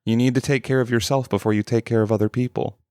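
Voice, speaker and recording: A man's voice: mid-range voice, steady tone, fast talker, darkish tone, clear, resonant tone, no room sound, clean studio sound, natural voice. A man playing a hungover, troubled driver. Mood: supportive. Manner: casual, supportive, rambling. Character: hungover, troubled male getaway driver and role-play character.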